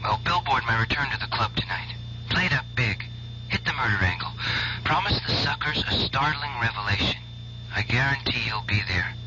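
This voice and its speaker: An actor in a radio drama playing a defiant, grieving young nightclub performer obsessed with revenge, intense and insistent. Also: male voice, medium pitch, lively intonation, a little fast, natural voice. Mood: urgent pleading. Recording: dull, noisy old radio recording.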